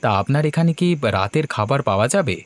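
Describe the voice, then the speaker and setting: An actor playing a polite, uneasy young man visiting a village in an animated horror story. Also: mid-range voice, quick pace, no echo, natural voice.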